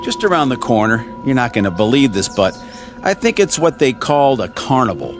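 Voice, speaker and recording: Breezy wisecracking crewman played by a man, mid-pitched. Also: sing-song, brisk, music in the background, natural voice. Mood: amazed. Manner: casual, colloquial, joking. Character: breezy, wisecracking male junior crewman, slightly uneasy. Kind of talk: dramatic radio acting.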